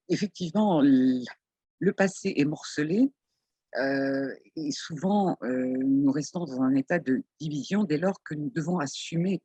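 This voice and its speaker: A man moderating a conference panel, speaking slowly and haltingly with drawn-out fillers. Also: on the high side, a little mellow, breathy edge, no echo.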